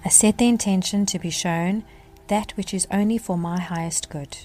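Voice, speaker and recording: A woman narrating a guided meditation as the guide, speaking in a gentle, measured way with deliberate pauses. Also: medium pitch, faint music behind.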